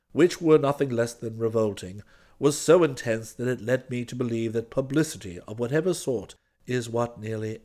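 A man voicing an unflappable, deferential English valet in a storybook reading, with formal, measured and faintly superior phrasing. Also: medium pitch, a little mellow, pitched below the performer's own voice, lightly acted.

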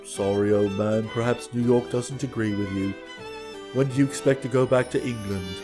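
A man's voice: mid-range voice, fairly even intonation, moderate pace, music in the background, pitched below the performer's own voice, natural voice. A man voicing a breezy, love-struck young upper-class fellow, dreamy and chummy, in a storybook reading. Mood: blissful.

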